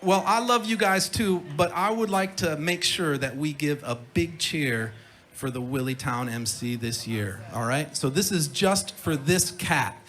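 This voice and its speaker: A man with a fairly high, forceful voice addresses a festival crowd from the stage. He is informal and earnest, urging people on and repeating himself for emphasis. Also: unhurried. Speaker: festival stage speaker, former emcee.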